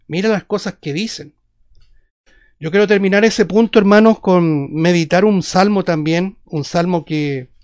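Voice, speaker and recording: A Chilean man preaching a Bible sermon in an emphatic, didactic way, repeating phrases and asking rhetorical questions. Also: high voice. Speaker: evangelical preacher.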